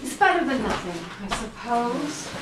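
Audio drama: actress as kindly, weary, no-nonsense woman, echoey room with clear background noise. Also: on the high side, big pitch swings, normal speed. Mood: practical, concerned. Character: weary, practical, kindly woman sheltering flood victims. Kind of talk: audio play acting. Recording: echoey room, distant mic, noisy background.

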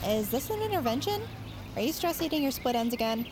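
A young woman with an American accent playing an excitable, geeky, sarcastic teenage superfan in an audio drama. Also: high voice, fast talker, noisy background. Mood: excited, teasing.

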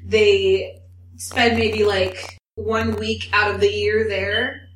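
A woman's voice: medium pitch, flat delivery, takes it slowly, fairly bright tone, a little hiss or hum. A woman co-hosting a podcast, chatting casually with lots of "like" and fillers. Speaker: podcast co-host. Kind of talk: casual chat. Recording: echoey room, mic at a distance.

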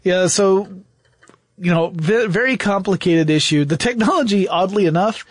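A man with a slightly high voice co-hosts a casual podcast discussion. He steers the conversation along in a dry studio-like sound with very little room. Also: normal speed, no room sound. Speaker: podcast co-host.

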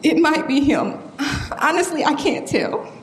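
A woman's voice: high-pitched, big pitch swings, unhurried. An actress playing a tough young woman shaken by grief, pleading and blunt in an audio drama. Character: grieving, tough young woman, shaken by her boyfriend's death. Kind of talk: full-cast audio drama acting. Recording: roomy echo, faint background noise.

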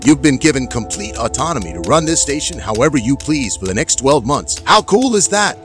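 A man playing an assured, blunt corporate representative making a pitch in a drama trailer. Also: sing-song, fairly quick, faint music behind, natural voice. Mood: upbeat, assured.

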